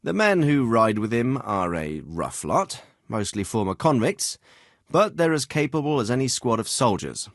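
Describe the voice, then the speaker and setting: A man's voice: medium pitch, sing-song, dry recording. Dignified middle-aged medieval lord for a video game, fatherly but shrewd, well-spoken, very dry close sound. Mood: businesslike, frank. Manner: measured, authoritative, well-spoken. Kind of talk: scripted game dialogue.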